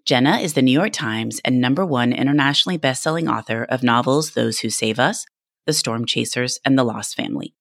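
A woman's voice: extremely low pitch, brisk, no room sound, clean studio sound. A woman hosting a podcast, speaking in a presenter's interview and introduction style. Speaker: podcast host. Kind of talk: scripted intro and book interview.

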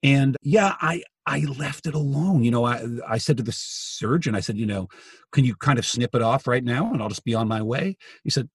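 A man with a gravelly, husky voice talks through a story in a relaxed, chatty way, often stopping and restarting his sentences. The recording is dry and close, with no room around him. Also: medium pitch, moderate pace, no echo. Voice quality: gravelly, raspy voice. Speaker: journalist and author as guest. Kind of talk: casual podcast conversation.

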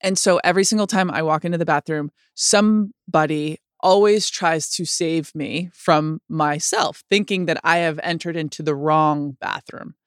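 A woman with a lower voice than most women, speaking candidly in a conversation with many likes and restarts. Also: steady tone, normal speed, hardly any pauses, smooth, no room sound, clean studio sound. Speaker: guest sharing her experience.

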